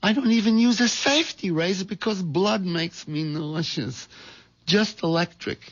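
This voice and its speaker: A dull vintage radio recording of a meek, flustered husband under his wife's thumb. His voice sits a little high and he stammers and whines through his lines, a nervous bungler in a darkly comic play. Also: moderate pace. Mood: frantic, exasperated. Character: meek, henpecked husband, nervous bungling would-be wife killer. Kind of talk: 1970s radio drama acting with narration.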